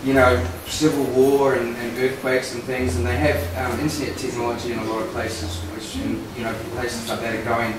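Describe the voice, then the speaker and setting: A man from the audience in a casual group discussion, speaking haltingly with many fillers as he asks a question. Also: mid-range voice, flat delivery. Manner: halting, lots of uh and like. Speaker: audience member asking questions. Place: echoey meeting room. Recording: echoey room recording from far off, noisy.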